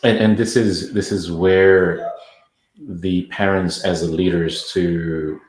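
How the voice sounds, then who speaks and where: A man's voice: sits low, steady tone, takes it slowly, a little mellow, echoey room, low-quality recording. A man from Indonesia, a parenting coach, talking thoughtfully in a podcast interview with many restarts.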